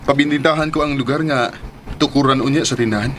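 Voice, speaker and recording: Radio drama male actor as ambitious, sincere young son, medium pitch, faint noise behind. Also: quick pace, fairly bright tone, lightly acted. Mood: eager, determined. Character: earnest, ambitious lovelorn young businessman, devoted son.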